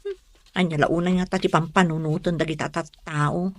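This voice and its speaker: A woman's voice: low voice, fairly even intonation, moderate pace, no echo. Weary young girlfriend. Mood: mildly annoyed. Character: young office woman, weary of her devoted boyfriend. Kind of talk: Ilocano radio drama acting.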